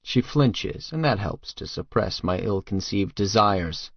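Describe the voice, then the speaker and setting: A man's voice: lowish voice, lively intonation, unhurried, no echo, muffled recording. A man narrating first-person fiction as a tough, crude, brooding hitman, terse and guarded. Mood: brooding, smitten. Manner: terse, hard-boiled, profane. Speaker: audiobook narrator.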